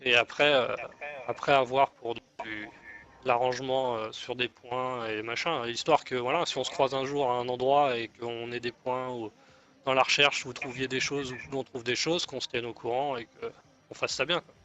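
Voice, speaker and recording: A man's voice: mid-range voice, level tone, normal speed, fairly bright tone, natural voice. A man playing a deferential gang negotiator in an online roleplay, speaking in a hesitant, rambling, conciliatory way. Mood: hesitant, bargaining. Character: deferential male gang negotiator offering an alliance. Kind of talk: improvised online roleplay dialogue. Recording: muffled lo-fi game voice chat.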